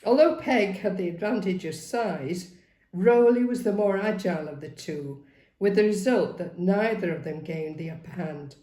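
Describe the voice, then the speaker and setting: A woman reading a novel aloud as dramatic, expressive narration. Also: fairly low pitch, normal speed. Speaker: story reader. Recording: roomy, echoing sound.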